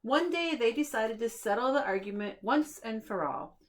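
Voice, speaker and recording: A woman reading a children's picture book aloud in an expressive, deliberate storytelling manner, as if to young listeners. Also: a little high. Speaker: preschool staff reader.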